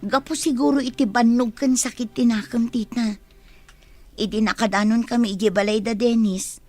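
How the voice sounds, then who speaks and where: A woman playing an excitable, anxious young village friend in a radio drama, speaking with an Ilocano accent in an exclamatory way. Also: a little high, moderate pace. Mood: subdued, apologetic. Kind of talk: Filipino radio drama acting.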